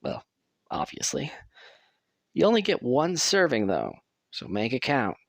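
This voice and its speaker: A man acting as a wry, crude male asylum patient in an audio drama, showing a newcomer around. He talks slowly and chattily with lively swings in pitch, rambling and swearing casually. The recording is close and dry. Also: gravelly, no room sound, exaggerated voice. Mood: wry, confiding. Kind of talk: acted audio roleplay addressed to the listener.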